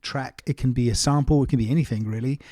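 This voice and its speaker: A man giving a casual, step-by-step software tutorial, explaining things as he goes. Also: medium pitch, steady tone, fast talker, no echo, studio quality. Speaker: music production tutorial presenter.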